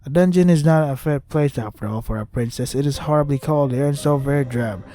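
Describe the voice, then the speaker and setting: A man's voice: medium pitch, fairly quick, mellow, natural voice. A man voicing a flippant, spoiled young princess in a visual novel, prim, chatty and self-absorbed. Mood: airy, spoiled.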